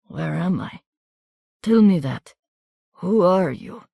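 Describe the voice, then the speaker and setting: A woman reading an audiobook, voicing a wounded, bewildered gentleman who speaks in halting, pained, partly whispered phrases. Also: on the low side, expressive, gravelly, breathy edge, dry recording, clean studio sound, heavily acted. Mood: pained, delirious. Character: wounded bewildered old-fashioned gentleman, delirious with pain.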